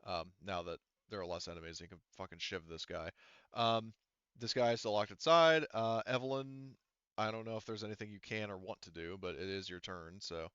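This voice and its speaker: A man's voice: on the low side, fairly quick, a bit bright, clean tone, dry recording, dull audio. A man with an informal, sweary way of talking, running an online tabletop roleplaying game as game master.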